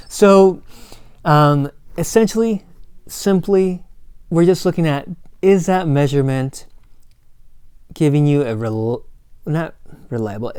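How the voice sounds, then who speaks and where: A man giving a recorded college lecture in a casual, joking way, his voice slightly hoarse, often checking in with "right?". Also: fairly high pitch, mellow, no room sound, clean studio sound. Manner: casual, corny asides, frequent "right?" and "um". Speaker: college instructor.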